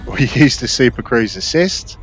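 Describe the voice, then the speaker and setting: A man's voice: medium pitch, expressive, moderate pace, faint music behind. A man giving casual, explanatory gameplay commentary in a conversational way. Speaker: gaming video creator. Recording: muffled mic with faint noise.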